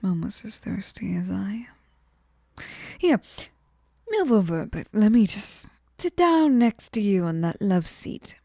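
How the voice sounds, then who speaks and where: Woman as obsessive sweet fairy for ASMR roleplay: soft mellow tone, unhurried, coaxing and whispery, close and dry. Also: medium pitch, sing-song, no room sound, full character voice. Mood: cuddly, doting. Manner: breathy cooing, often dropping into whispers, coaxing. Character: sweet, clingy, possessive female fairy hostess, lonely and obsessive. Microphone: close microphone, dry sound.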